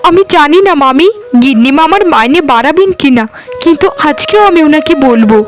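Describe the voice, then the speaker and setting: An overworked young maid from a cartoon , voiced by a woman, always pleading her case. The voice is very high and lively in pitch, plaintive and full of protest. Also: a little fast, music in the background. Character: overworked, pleading young orphan housemaid girl. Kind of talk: Bengali cartoon dialogue.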